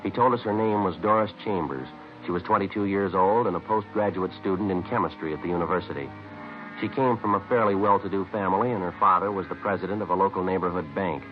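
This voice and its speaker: An actor playing a terse, businesslike police sergeant in a 1950s radio crime drama, speaking in a clipped, matter-of-fact way. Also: male voice, low voice, natural voice. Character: terse, businesslike male police sergeant narrating his case. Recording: muffled vintage radio recording with music.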